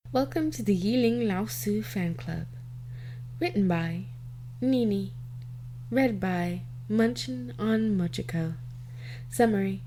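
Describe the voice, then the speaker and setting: A woman's voice: mid-range voice, warm tone, a little hiss or hum. A woman who is an amateur podfic reader, talking informally and narrating fan fiction.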